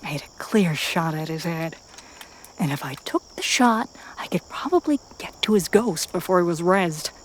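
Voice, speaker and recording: A woman reading a first-person story as a dramatic narrator, with intense pauses and hushed, breathy phrases. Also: on the low side, expressive, slight background noise. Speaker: narrator of a fan fiction audio story.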